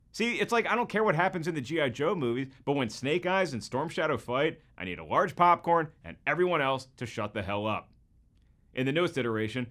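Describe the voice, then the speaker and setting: A man's voice: medium pitch, a bit bright. A man presenting scripted comparison commentary, opinionated and playfully irreverent. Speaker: video presenter.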